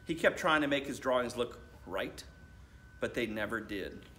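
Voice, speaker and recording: American man reading aloud, lively pitch. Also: moderate pace, slight background noise. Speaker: school principal reading aloud to children. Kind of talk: storybook read-aloud. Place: school library, indoors.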